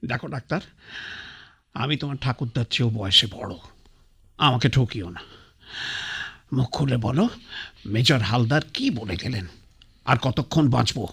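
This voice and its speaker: A man's voice: mid-range voice, lively intonation, measured pace, vocal fry, long pauses, fairly bright tone, breathy edge, heavily acted. An actor playing a blunt, stubborn old man on his deathbed who mocks his doctor and priest in a sarcastic, commanding way. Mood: bitter. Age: older. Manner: sarcastic, commanding, brushes others off. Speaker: bedridden patriarch. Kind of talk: radio drama acting.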